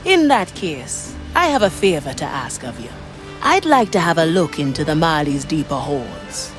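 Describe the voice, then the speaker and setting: A woman's voice: sits low, very animated, normal speed, faint music behind. An actress playing a wry, daring woman pirate navigator with a cool, confident manner. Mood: sly, confident.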